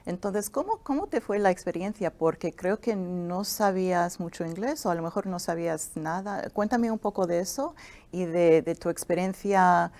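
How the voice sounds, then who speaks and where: Lowish-voiced woman hosting an interview. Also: normal speed. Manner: warm, encouraging questions with hesitations. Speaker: interviewer, deputy director of a nonprofit.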